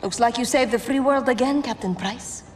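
An actress playing a proud, guarded young female militia commander in a video game, measured, terse and resolute. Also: fairly high pitch, slight background noise, lightly acted. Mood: wary. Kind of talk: video game cinematic acting. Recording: music behind dialogue.

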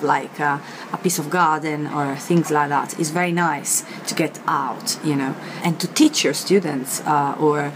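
A young Italian woman with an Italian accent, teaching a vocabulary lesson to camera in a chatty way, filled with pauses and fillers, recorded outdoors on a terrace. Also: sits low, noisy background. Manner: chatty, many fillers, repeats words slowly. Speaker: language teacher.

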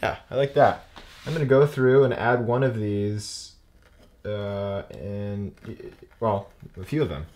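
A young man giving a casual hands-on demonstration as an instructor, thinking aloud in halting phrases as he works. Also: fairly low pitch, darkish tone. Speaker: woodcarving instructor. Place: indoor workshop.

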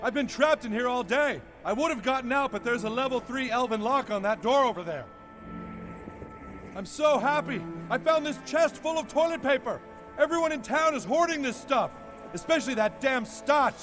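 A flustered, greedy cartoon boy who babbles and hedges with stalling fillers. His voice is very high. Loud music runs under him. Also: expressive, normal speed. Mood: frantic. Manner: babbling and hesitant, full of ums. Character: greedy, frantic boy hoarding toilet paper.

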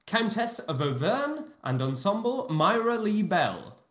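Male host, high animated voice, brisk introductions, echoey video-call sound. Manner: brisk, announcing names in a lively sing-song. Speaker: host and producer.

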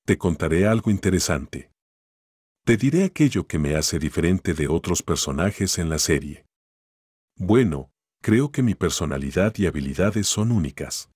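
Male actor as rugged cartoon echidna hero, low-pitched, raspy, friendly, loyal, simple scripted phrases, dry close sound. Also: lively intonation, normal speed, a little mellow, dry recording. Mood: earnest, proud. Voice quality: deep, husky. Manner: friendly, addressing a child listener in simple phrases. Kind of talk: scripted one-sided character phone call.

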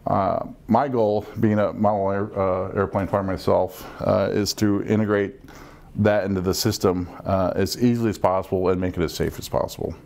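A middle-aged man explaining things as an air traffic controller on a panel, measured and instructive. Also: low-pitched, little pitch movement, vocal fry, a little mellow. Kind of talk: panel discussion, explanatory talk. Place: small meeting room, around a conference table.

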